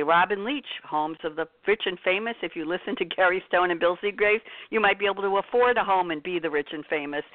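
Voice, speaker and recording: A woman's voice: on the low side, no echo. A woman hosting a radio talk show from a New York studio, chatting with a caller in a bubbly way and laughing easily. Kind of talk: casual radio interview. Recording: phone-quality radio audio.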